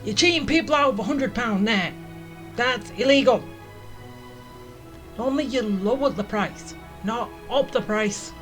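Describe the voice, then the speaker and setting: A woman's voice: fairly high pitch, measured pace, pauses often, fairly bright tone. A woman giving casual commentary as she plays through a video game. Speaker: gaming streamer. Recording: game music behind the voice.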